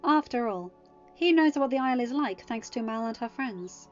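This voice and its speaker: Woman voicing composed goddess queen, dry, measured, quietly cutting, high voice, soft background music. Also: expressive, lightly acted. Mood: cool. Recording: muffled audio with soft music.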